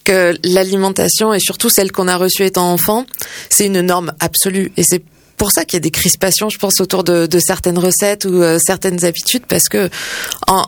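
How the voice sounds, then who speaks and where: A woman hosting an interview, asking questions in a measured, reflective way with some fillers. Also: fairly low pitch, vocal fry, no room sound, studio quality. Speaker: interviewer, host. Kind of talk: interview conversation.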